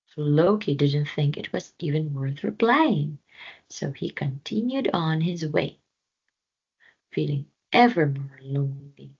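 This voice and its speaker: A woman reading a children's story aloud with deliberate, expressive phrasing. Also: low-pitched, husky, dull audio. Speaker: storybook reader. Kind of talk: children's read-aloud narration.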